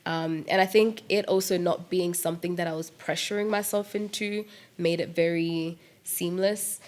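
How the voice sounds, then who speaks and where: A young woman talking about her music in a relaxed interview, her voice sitting a little low. She gets animated and laughs often, with plenty of "like" and "um" between thoughts. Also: normal speed. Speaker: musician and producer guest. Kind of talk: casual interview chat.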